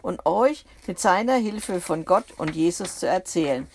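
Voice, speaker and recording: A woman voicing the apostle Peter, a joyful and excited preacher in an audio bible story. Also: high voice, a little slow, slight background noise.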